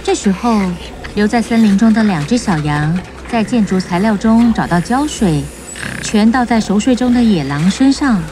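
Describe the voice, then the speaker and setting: A woman's voice: medium pitch, lively intonation, normal speed, background noise. A woman storyteller reading a scripted children's tale as narrator. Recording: music bed under narration. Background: music.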